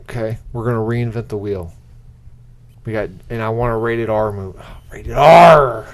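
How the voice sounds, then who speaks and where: A man's voice: on the low side, normal speed, gravelly. A man co-hosting a podcast in casual chat, insistent and often restarting his sentences. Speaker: podcast co-host pitching movie ideas.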